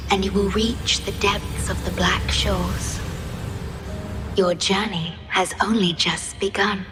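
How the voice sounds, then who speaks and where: Serene mysterious guardian lady from a video game, soft n slow, formal, music under it. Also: medium pitch. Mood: gently curious. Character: serene, mysterious female guardian, gentle and devoted. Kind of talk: scripted video game voice acting.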